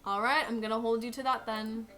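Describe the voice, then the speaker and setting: A woman's voice: fairly high pitch, level tone, brisk, heavy background noise, natural voice. A woman voicing an easygoing teenage boy in a visual novel game, reading in her normal voice.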